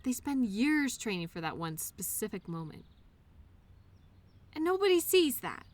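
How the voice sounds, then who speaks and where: A woman playing a loyal childhood imaginary friend in an audio roleplay, speaking in an earnest, reassuring, gently encouraging way. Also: fairly high pitch, big pitch swings, measured pace. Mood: earnest encouragement. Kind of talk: one-sided audio roleplay, intimate scripted conversation.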